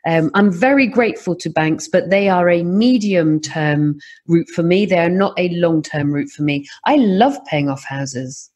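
Brief: middle-aged woman, English accent, husky and lowish, lively and animated podcast guest. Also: unhurried. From Scotland. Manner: lively, animated storytelling with laughs. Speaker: property investor guest. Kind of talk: podcast interview.

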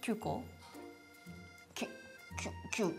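A woman's voice: a little slow, darkish tone, soft background music. A woman in her twenties presenting a product in casual, playful chat, often in short teasing phrases. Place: small studio, seated at a table. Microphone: lapel mic.

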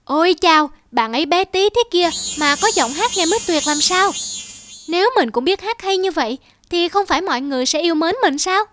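A woman's voice: very high-pitched, lively intonation, fairly quick, a bit bright. A woman voicing a lonely, naive donkey in a cartoon fable, plaintive and earnest. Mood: admiring, eager. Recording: music under the voice.

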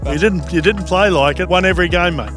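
A man's voice: sits high, brisk. A middle-aged Australian man hosting casual sideline interviews with jovial, matey banter into a handheld microphone. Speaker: roving reporter and host. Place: outdoor sports field. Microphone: handheld reporter microphone. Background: music playing.

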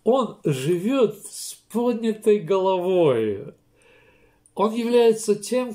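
An older man, a pastor, preaching a sermon slowly and emphatically from a small room, with pauses and restarts. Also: sits high, vocal fry, mellow. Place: small room indoors, seated in armchair.

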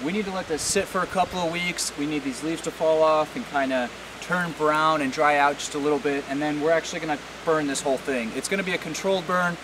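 A man's voice: fairly high pitch, steady tone, fast. Man talking casually over noisy outdoor hiss. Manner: informal, plain-spoken. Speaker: farmer, vlog host. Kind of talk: casual explanatory vlog talk. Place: outdoors on a farm. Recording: clear background noise, hiss.

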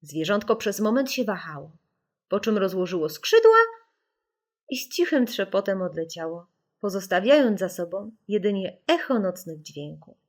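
A woman narrating a children's audiobook in an expressive, scripted storytelling style. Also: medium pitch, normal speed. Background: music.

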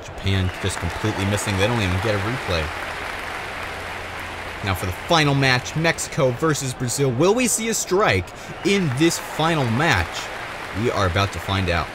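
Man calling play-by-play over loud crowd. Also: medium pitch, lively intonation, moderate pace. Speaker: sports commentator for marble races. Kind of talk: play-by-play commentary. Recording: loud crowd noise behind commentary. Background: loud crowd and ambient noise.

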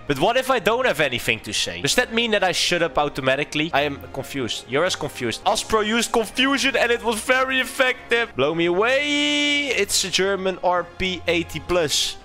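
A young man, his voice bright and somewhat high, talks through his game in loose, casual commentary. He swings up and down in tone, curses freely and reacts with quick amusement, while soft music plays behind him. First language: Dutch. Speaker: streamer.

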